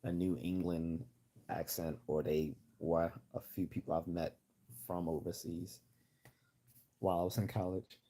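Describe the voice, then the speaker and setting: A man's voice: flat delivery, measured pace. A man with a generic Midwestern American accent chatting casually and giving his opinions as host of a livestream. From United States. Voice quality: deep, husky, mellow.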